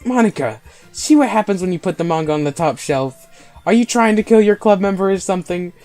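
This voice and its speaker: A man's voice: high-pitched, expressive, brisk, a little mellow, voice pushed up. A man voicing a short, stubborn, hot-tempered schoolgirl in a video game, snappy and indignant. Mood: furious, flustered. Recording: voice over game music.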